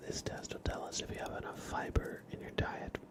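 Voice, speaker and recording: A man hosting an ASMR livestream in a breathy whisper, speaking gently with playful mock-seriousness as he acts out little roleplays. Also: fairly quick. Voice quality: breathy whisper, mostly unvoiced.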